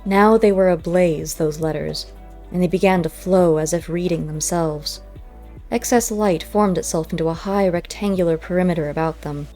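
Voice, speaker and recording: A woman narrating a first-person story as an audiobook, in measured, literary storytelling. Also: fairly low pitch, warm tone, soft background music.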